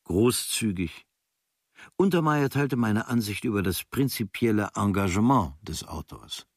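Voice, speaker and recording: A man with a low, creaky voice narrates a literary travel diary for radio. His delivery is measured, set out in short, clipped phrases, and the sound is close and dry, as in a studio. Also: dry recording.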